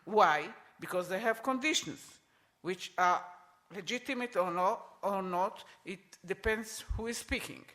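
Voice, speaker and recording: Guy talking on a panel, pretty high voice, keeps restarting, hammers his points. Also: bright, forceful tone. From Israel. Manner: emphatic, repeats points, halting restarts. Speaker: conference panelist. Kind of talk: conference panel talk.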